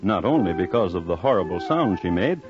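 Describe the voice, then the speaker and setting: A man narrating an old radio crime drama in a scripted, deadpan, droll manner. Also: sits low, a little fast. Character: droll storyteller of historic crimes. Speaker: host narrator. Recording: dull old radio recording, music behind.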